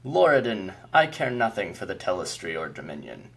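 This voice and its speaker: An ancient, commanding keeper of mysteries, as a man reading the story puts him on, his words grave and measured. The voice sits low with a husky edge. He sounds haughty, as if nothing could threaten him. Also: very animated, a bit bright, exaggerated voice. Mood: stern. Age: older. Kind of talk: audiobook character voice.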